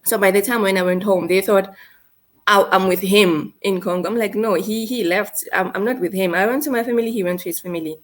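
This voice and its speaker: A woman in her thirties with a South African accent, telling a painful personal story in a halting, hesitant way. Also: medium pitch, fairly even intonation. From South Africa, North West. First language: Setswana. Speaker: guest. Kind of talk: emotional personal account.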